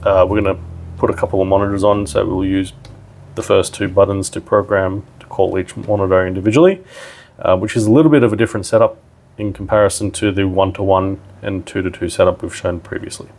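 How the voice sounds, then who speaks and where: Low-pitched man, creaky voice, explaining. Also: fairly even intonation, a little fast, a little mellow. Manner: informal, step-by-step explaining. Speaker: product demonstrator. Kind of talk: hands-on tutorial narration.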